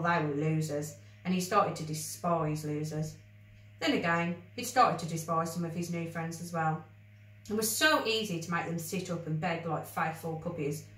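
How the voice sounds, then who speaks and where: A woman reading a children's story aloud with expressive narration. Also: lowish voice. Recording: roomy, echoey, distant microphone.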